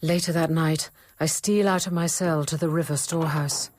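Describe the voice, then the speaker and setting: An actress playing a discreet, thoughtful convent apothecary nun in a radio drama, quiet and earnest in both narration and dialogue. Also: female voice, low voice, steady tone, normal speed, noisy background, close to an everyday voice. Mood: sober, narrating. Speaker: narrator and lead character.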